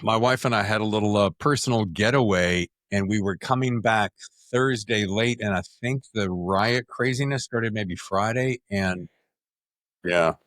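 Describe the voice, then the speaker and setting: A man's voice: on the low side, unhurried, a bit bright. A middle-aged American man hosting a podcast, with a playful, theatrical way of talking. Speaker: podcast host. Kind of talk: show introduction and interview chat.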